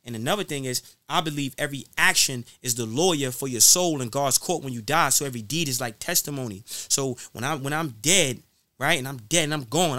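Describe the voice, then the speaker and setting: A man's voice: mid-range voice, sharp tone, dry recording. A man giving motivational talk as an interview guest, speaking emphatically in a preacher-like way and repeating phrases to drive them home.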